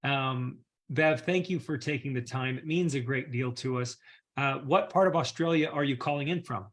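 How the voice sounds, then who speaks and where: A man's voice: medium pitch, moderate pace. A man hosting an online community call, talking in an enthusiastic, casual way with frequent fillers. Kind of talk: casual upbeat group call.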